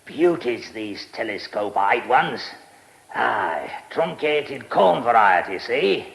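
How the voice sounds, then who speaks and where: An actor with a British accent playing an eccentric, brusque lordly press baron in a radio drama, speaking in clipped, mumbling phrases. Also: male voice, medium pitch, big pitch swings, slow pace, creaky, fairly bright tone, full character voice. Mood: proud, absorbed.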